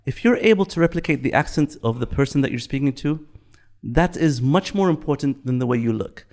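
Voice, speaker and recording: A man in his fifties from Bahrain, chatting in a relaxed podcast conversation, reflective, often repeating and restarting his words. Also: mid-range voice, fairly quick. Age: 50s. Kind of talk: casual podcast chat.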